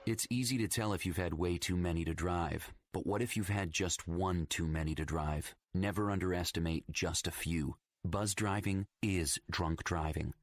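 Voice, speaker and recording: A man's voice: low-pitched, moderate pace, no echo, studio quality. A man reading a scripted public service announcement as its announcer. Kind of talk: scripted narration.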